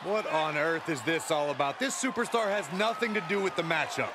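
Indignant higher-voiced wrestling commentator man. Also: a little fast, lightly acted. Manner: critical, emphatic. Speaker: color commentator. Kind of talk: sports commentary in a video game. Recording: noisy arena crowd behind commentary.

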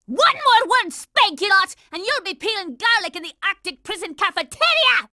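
Casting: a hot-headed kid girl commander for a cartoon game, very high, piercing and animated. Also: normal speed, no room sound, studio quality, exaggerated voice. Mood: fierce, commanding. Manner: brash, shouty, commanding. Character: bossy, hot-tempered young girl kid operative. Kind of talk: cartoon video game acting.

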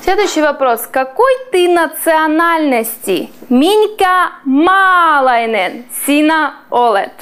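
A woman in her thirties teaches a small class, her voice high and bouncing with lively rises and falls. She takes her time, stretching each foreign word out slowly and clearly so the students can follow. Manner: slow, clearly drawn-out pronunciation of foreign words. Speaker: language teacher.

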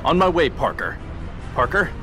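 Male actor as young futuristic superhero, impatient, sardonic, widely varied pitch, video game dub, music in background. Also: very animated, normal speed, fairly bright tone. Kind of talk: video game fandub acting.

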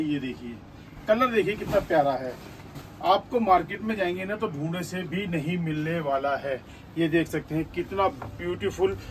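A man with a high-pitched voice presents goods in a small shop. His sales talk is repetitive and emphatic. He is some way from the microphone, with faint noise behind him. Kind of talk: sales vlog patter in Hindi mixed with English words. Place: small indoor clothing shop. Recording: distant mic, faint background noise.

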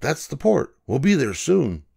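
Man acting a helpful tomcat of the sea, storybook character, raised pitch, courteous, reassuring. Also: big pitch swings, a little slow, gravelly, darkish tone, breathy edge, exaggerated voice. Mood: eager. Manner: reassuring, politely well-spoken. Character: friendly, helpful male ship's cat.

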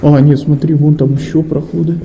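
A man's voice: fairly high pitch, level tone, a little fast, gravelly, lo-fi sound. A young man chatting casually and slangily as he narrates an exploration to camera. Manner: slangy, informal. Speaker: urban explorer and vlogger. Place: outside at night and inside an abandoned concrete bunker. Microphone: handheld or body-worn action camera. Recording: clicky, noisy handheld action camera audio.

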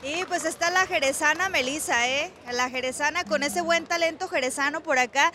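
A woman's voice: sits high, normal speed, noisy background. A young Mexican woman reporting from a fair with a handheld mic, chatty and upbeat, full of fillers. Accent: Mexican Spanish. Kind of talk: roving event interview. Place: outdoor fair under a large roof. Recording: loud crowd and music around. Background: band music and crowd.

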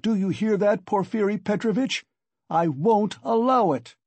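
A man's voice: high voice, measured pace, a little mellow, no room sound, voice pushed up. A man voicing a proud, agitated male suspect in an audiobook, speaking distinctly with defiant indignation. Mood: furious. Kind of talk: audiobook character acting.

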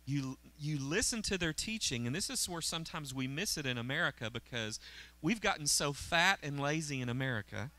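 Middle-aged male pastor, bright, slightly high voice; chatty sermon on a church stage into a handheld mic. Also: expressive, fairly quick. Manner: conversational preaching, checks in with the crowd. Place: church auditorium stage, indoors.

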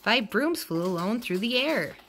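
Slow-paced, expressive woman reading a storybook. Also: on the high side, slight background noise. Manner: expressive, acts out character dialogue. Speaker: storybook reader. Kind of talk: children's picture book read-aloud.

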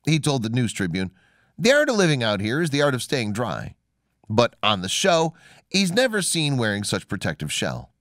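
Lively male narrator, close and dry. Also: mid-range voice, moderate pace, no echo. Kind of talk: scripted documentary-style narration.

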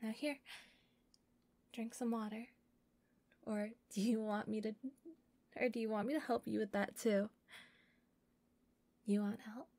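A woman playing a shy, doting, possessive yandere captor in an intimate roleplay audio, softly and breathily. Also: a little high, little pitch movement, measured pace, long pauses, no echo. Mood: doting, gentle. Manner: soft, breathy, often whispering, giggly, stammering when flustered.

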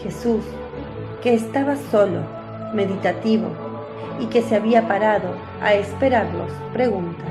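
A woman's voice: mid-range voice, moderate pace, music in the background. A woman reading scripted narration for a biblical radio drama.

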